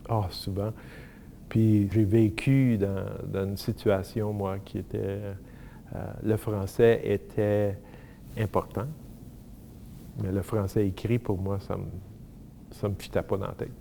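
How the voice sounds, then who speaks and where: A man telling about his in a halting, self-mocking way, slipping into English words. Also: on the low side, little pitch movement, creaky, mellow, soft background music. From Manitoba. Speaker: interviewee. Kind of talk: testimonial talk.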